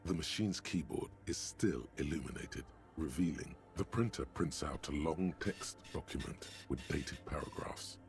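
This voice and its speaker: A man voicing the grave, measured narrator of a detective video game. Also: a bass voice, faint music behind.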